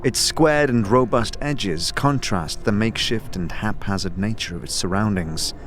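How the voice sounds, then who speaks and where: Low-voiced male narrator over music. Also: moderate pace. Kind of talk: scripted storybook narration for audio drama. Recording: clear music behind the voice.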